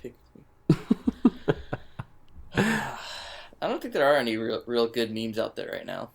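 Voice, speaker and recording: A man's voice: medium pitch, sing-song, measured pace, creaky. A young man co-hosting a podcast, cracking jokes, swearing casually and laughing often. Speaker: podcast co-host. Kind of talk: casual banter.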